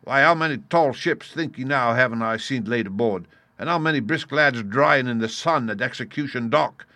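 Man acting a forceful, swaggering pirate ringleader. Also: mid-range voice, lively intonation, normal speed, no echo, pitched above the performer's own voice. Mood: scornful, boastful. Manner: forceful, rustic seafaring dialect. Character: swaggering, scheming male pirate ringleader and ship's cook. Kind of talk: dramatised storybook reading.

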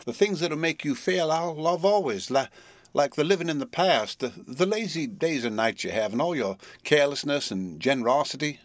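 A man voicing an affectionate, restless young woman from the South, with a Southern US drawl and an earnest, halting manner in a storybook reading. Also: on the high side, lively intonation, no room sound, voice pushed up, close to an everyday voice. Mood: wistful.

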